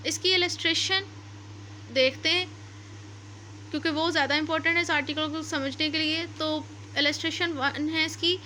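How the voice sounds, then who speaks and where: A woman with a Pakistani accent teaches in a very high, bright voice. She explains like a lecturer, going back over each point in new words. There is some background noise on the recording. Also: steady tone, normal speed, poor audio. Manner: didactic, repeats and rephrases points. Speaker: law lecturer. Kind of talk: explanatory lecture, reading out statutes and paraphrasing them.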